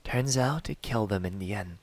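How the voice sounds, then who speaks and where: A man playing a smug, menacing young demon hunter in an ASMR roleplay, taunting softly and deliberately, often close to a whisper. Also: fairly low pitch. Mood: brooding, musing. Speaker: amateur voice actor.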